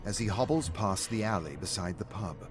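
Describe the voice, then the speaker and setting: A man's voice: on the low side, normal speed. A man giving a scripted, dramatic narration of a gritty game story. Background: music.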